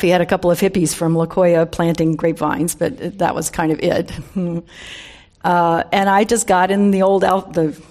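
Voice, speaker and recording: A woman's voice: on the low side, a little mellow. An American woman in her seventies giving an informal, humorous talk to a live audience, with conversational storytelling, wry asides and emphasis on key words. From San Francisco Bay Area, USA. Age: 70s. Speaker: guest speaker, wine industry veteran.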